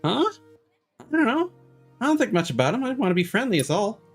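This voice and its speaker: A man's voice: high voice, very animated, a little fast, soft background music, voice pushed up, exaggerated voice. A man voicing a friendly, easygoing anime schoolgirl, reading video game dialogue in a chirpy manner. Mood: breezy, friendly. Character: friendly, breezy anime schoolgirl who loves nicknames.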